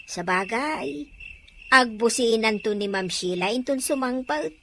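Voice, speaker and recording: A woman's voice: fairly high pitch, animated, unhurried, a bit bright. A young woman playing a teasing household helper in an audio drama, playful and drawling. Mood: teasing, gossipy. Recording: faint noise, night crickets and clicks.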